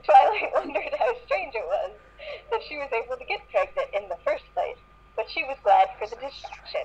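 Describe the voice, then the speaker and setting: A woman's voice: high voice, lively intonation, normal speed, a little mellow. Dull lo-fi woman reading aloud. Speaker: reader in a group comedy reading. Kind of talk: live read-aloud of fan fiction. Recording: dull lo-fi voice-chat microphone.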